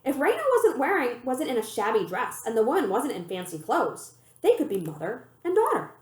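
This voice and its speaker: A woman reading a children's novel aloud as an expressive, animated storyteller. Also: fairly high pitch, a little fast. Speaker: librarian reading a book aloud. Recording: roomy, echoey room sound.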